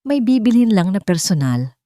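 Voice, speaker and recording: A woman with a Filipino accent voicing a determined, secretive young housemaid, hurried and evasive, in an audio story. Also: medium pitch, big pitch swings, mellow, dry recording, clean studio sound, close to an everyday voice.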